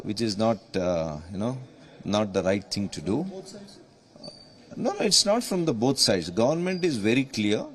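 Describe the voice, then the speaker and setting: A man with an Indian accent gives off-the-cuff remarks to the press. His pitch is average, and he builds his points in measured, halting phrases.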